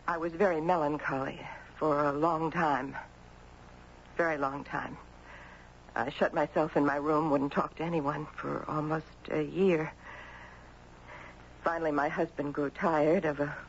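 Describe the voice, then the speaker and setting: An actress playing a gracious, melancholy mother, speaking in a hesitant, measured and dramatic way in a 1970s radio drama. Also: deep voice. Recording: dull noisy 1970s radio broadcast.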